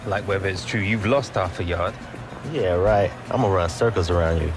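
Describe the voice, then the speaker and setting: An actor playing a cocky, easygoing but guarded young British footballer in a video game. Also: male voice, deep voice, a little fast, music in the background. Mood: cocky banter. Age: young adult. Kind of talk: naturalistic video game cutscene acting.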